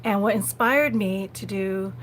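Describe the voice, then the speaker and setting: A woman's voice: medium pitch, unhurried. Chatty woman livestreamer on a noisy phone recording. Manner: conversational, repeats phrases, frequent small laughs. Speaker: live-stream host. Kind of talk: casual live vlog chat to viewers.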